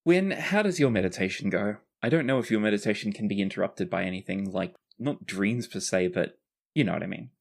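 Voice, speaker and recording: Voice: low voice, animated, brisk, gravelly. Game master telling a fantasy scene. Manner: casual, swears freely. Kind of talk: narrating a tabletop roleplaying game.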